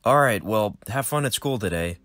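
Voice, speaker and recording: A weary middle-aged hitman father, protective of his son, voiced by a man. The voice is fairly low, and the recording is close and dry. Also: expressive, normal speed, fairly bright tone, dry recording. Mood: calm.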